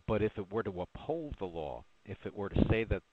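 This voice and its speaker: A man's voice: on the low side, big pitch swings, brisk. A man working as a journalist, putting a press question over the telephone. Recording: noisy, muffled telephone line with clicks.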